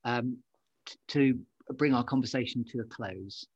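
Wordy gushing guy hosting a podcast interview, raspy husky voice, very dry sound. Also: medium pitch, unhurried, a little mellow, no room sound, muffled recording. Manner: effusive, wordy, complimentary. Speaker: podcast host.

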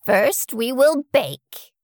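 A woman's voice: a little high, sing-song, drawn-out words, a little mellow, no echo, studio quality, pitched above the performer's own voice, heavily acted. A woman voicing a mean, greedy old witch in a storybook tale, speaking in a menacing, wheedling way. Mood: scheming.

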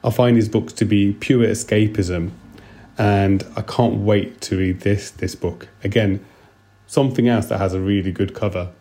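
A man giving a prepared book review to listeners as a podcast guest, in a measured, explanatory way. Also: on the low side, fairly even intonation, warm tone. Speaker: GP and training programme director, podcast guest.

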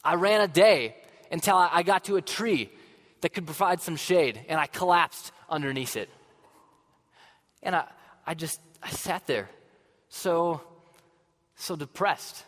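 A man playing a weary, passionate older prophet in a dramatic monologue to a congregation, earnest and swinging from fervent outbursts to hushed sadness. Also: on the high side, unhurried, pauses often. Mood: despairing. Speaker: dramatic first-person storyteller. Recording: long hall reverb.

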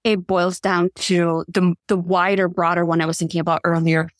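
A woman's voice: lowish voice, flat delivery, normal speed, no echo, clean studio sound. A woman whose first language is Spanish, talking informally and animatedly as a podcast guest and acting out imagined quotes. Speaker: podcast guest, leadership and visibility coach. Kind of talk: casual interview conversation.

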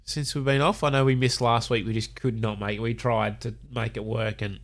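A man's voice: medium pitch, level tone, normal speed. An Australian man co-hosting a podcast in casual chat, a bit nasal from a cold, laid-back with lots of fillers. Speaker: podcast co-host.

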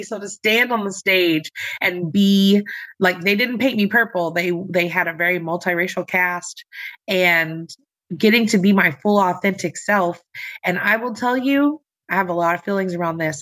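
A woman with a low voice, a singer and nurse telling her story in an interview, dramatic and lively, acting out the voices she quotes. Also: low-quality recording. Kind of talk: interview conversation.

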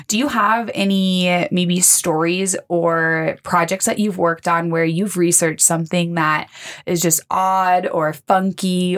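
A woman interviewing a new intern, encouraging and talking in long, winding questions. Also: lowish voice. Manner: warm, encouraging, talks in long run-on questions. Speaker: interviewer for the organization. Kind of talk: casual interview.

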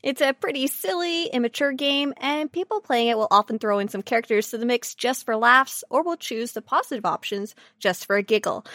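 A woman's voice: sits high, fairly bright tone, no echo, studio quality. A woman co-hosting a podcast, explaining things casually in a lighthearted, amused way. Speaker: podcast co-host.

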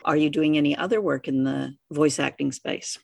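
A woman's voice: sits low, fairly even intonation, moderate pace, gravelly. A woman hosting a podcast, speaking in a conversational interviewer style. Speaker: podcast host. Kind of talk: interview questions and podcast outro.

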